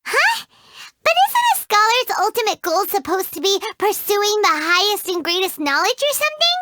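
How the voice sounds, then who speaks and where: A woman voicing a tiny, excitable, greedy female fairy companion in a game who talks about herself in the third person. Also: very high-pitched, moderate pace, slight background noise, heavily acted. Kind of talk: game voice acting.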